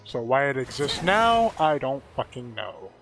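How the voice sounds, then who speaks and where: A man's voice: medium pitch, big pitch swings, measured pace, a bit bright, faint music behind. A man streaming a video game with casual commentary, full of sweary, exasperated asides.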